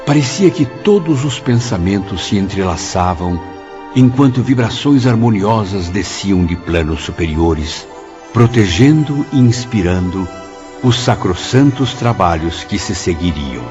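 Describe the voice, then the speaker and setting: Reverent radio drama narrator, Brazilian accent, lowish voice, lively intonation, literary phrasing. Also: male voice, a little slow. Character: solemn male narrator recalling the spirit world. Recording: dull, muffled recording. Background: clear music.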